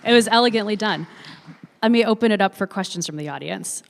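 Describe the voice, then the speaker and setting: A woman moderating a panel, measured, putting questions and opening the floor. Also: medium pitch, creaky. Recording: large hall with long reverb.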